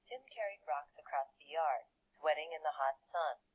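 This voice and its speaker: A woman playing a composed, detached storybook narrator in an educational cartoon, with a husky voice. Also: deep voice, moderate pace, poor audio. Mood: composed narration.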